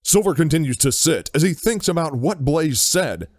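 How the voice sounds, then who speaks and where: Higher-voiced male drama narrator. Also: lively intonation, moderate pace, dry recording, studio quality. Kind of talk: scripted audio drama narration.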